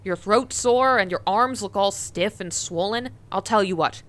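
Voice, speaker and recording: A woman's voice: medium pitch, moderate pace, fairly bright tone, lightly acted. Fussing, caring big sister, played by a woman. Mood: worried, insistent. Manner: fussing, playful, insistent. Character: caring, bossy, teasing sister, a mother of kids. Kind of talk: scripted comic dub acting.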